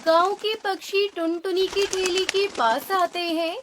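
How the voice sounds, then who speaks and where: A woman's voice: very high voice, steady tone, unhurried, background noise. A woman narrating a scripted children's story.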